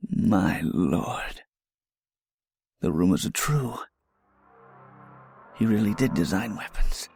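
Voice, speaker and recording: A man playing a polite, hesitant scientist who speaks haltingly and deferentially in an amateur audio drama. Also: low-pitched, expressive, gravelly, darkish tone, soft background music, heavily acted. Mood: hesitant, puzzled. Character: hesitant, polite male scientist, software engineer.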